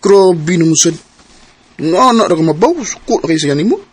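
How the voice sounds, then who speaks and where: An actor with a Filipino Cebuano accent playing a suspicious young buddy in a radio drama, with a husky voice. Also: male voice, on the high side, sing-song, a little fast, music in the background.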